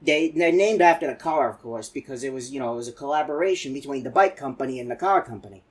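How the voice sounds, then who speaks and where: A man voicing a chatty, excitable elderly animatronic in a video game, telling folksy, rambling stories in a husky old voice. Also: medium pitch, fast talker, natural voice. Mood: rambling reminiscing. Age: older. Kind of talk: rambling game character monologue.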